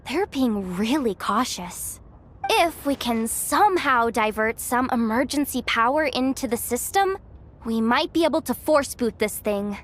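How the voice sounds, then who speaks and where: High-pitched, jittery young tech tinkerer. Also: female voice, measured pace, a little hiss or hum. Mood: explaining. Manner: nervous, expressive muttering to herself. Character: young female tech tinkerer, anxious, chatty, self-deprecating. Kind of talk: anime game voice acting.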